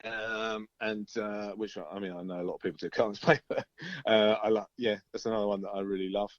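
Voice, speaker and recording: Low-voiced man, dull recording. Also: level tone, brisk, dry recording. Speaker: band singer and songwriter being interviewed. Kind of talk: casual interview chat.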